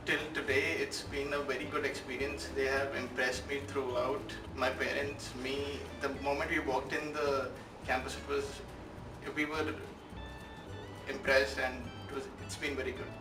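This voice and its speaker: Even-toned young man in an echoey room. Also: medium pitch, moderate pace. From Dubai. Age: 20s. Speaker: student giving a testimonial. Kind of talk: interview testimonial. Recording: echoey, distant mic, music behind.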